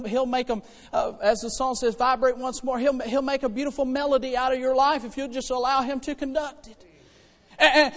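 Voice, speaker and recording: High-pitched male preacher in a reverberant hall. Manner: conversational and folksy, swinging from hushed asides to loud exhortation. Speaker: preacher and church choir director. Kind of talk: animated sermon to a congregation. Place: church hall, preaching to congregation. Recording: reverberant hall with long echo.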